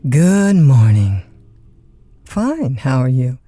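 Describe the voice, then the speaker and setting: A woman's voice: very deep voice, big pitch swings, takes it slowly, background noise, full character voice. A woman playing a chatty, lonesome overnight disc jockey in an audio drama, with a folksy, polite, hesitant conversational manner. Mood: friendly, obliging. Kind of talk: naturalistic audio drama acting, one side of phone calls.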